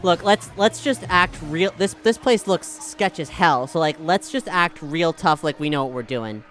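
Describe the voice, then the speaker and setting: A man's voice: a little high, normal speed, a bit bright. A man playing a tabletop game, improvising casual in-character talk in an informal, hesitant manner. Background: music.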